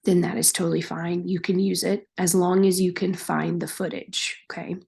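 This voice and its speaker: A woman teacher with a hoarse, raspy voice from being sick, patiently walking her students step by step through a class project in a recorded screen lesson. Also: lowish voice, level tone, normal speed, darkish tone. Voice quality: raspy, breathy, half-whispered.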